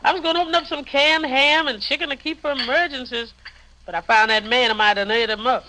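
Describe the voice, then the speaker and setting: An actress playing a hospitable, chatty American housekeeper with homely speech in an old radio drama. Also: female voice, sits high, fairly even intonation, fast, sharp tone. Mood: cheerful, hospitable. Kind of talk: 1950 radio acting. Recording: noisy old 1950 radio recording.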